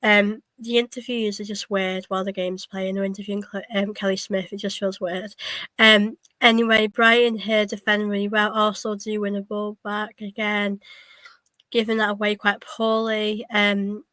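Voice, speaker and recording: A woman's voice: mid-range voice, little pitch movement, moderate pace, a bit bright, no echo. A young woman with a cold hosting a fan watchalong, talking through the match casually with lots of ums and restarts. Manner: hesitant, many ums and restarts, chatty. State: has a cold, coughing. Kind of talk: casual live match commentary.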